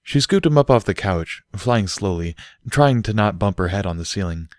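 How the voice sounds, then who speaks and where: A man narrates a story in a low voice, recorded dry and close. He reads scripted prose as an audiobook reader. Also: animated, normal speed, darkish tone, no room sound.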